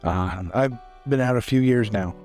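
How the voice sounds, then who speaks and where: A man's voice: medium pitch, normal speed, darkish tone, natural voice. A man playing a respectful, world-weary navy sailor in a casual, hesitant way for a tabletop game. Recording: faint music behind.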